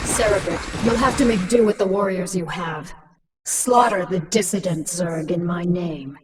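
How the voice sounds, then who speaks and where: A woman's voice: lowish voice, a little slow, distant mic, a crowd in the background, close to an everyday voice. A woman voicing a ruthless, sardonic alien-hybrid queen in a video game, speaking with mocking, commanding menace. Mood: cold command. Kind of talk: scripted video game voice acting.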